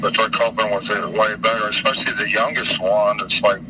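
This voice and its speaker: Low, flat-toned American man, halting. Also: background noise. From Utah, United States. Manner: halting, repetitive, folksy. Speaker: interviewee. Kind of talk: informal interview answers.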